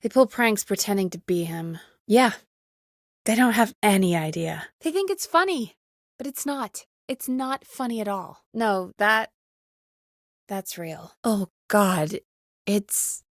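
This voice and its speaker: A woman playing an earnest, wry radio show producer in a video game, speaking in an expressive, conversational way that is supportive but easily exasperated. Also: mid-range voice, slow pace, no room sound, studio quality. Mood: shaken, upset. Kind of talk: naturalistic video game dialogue.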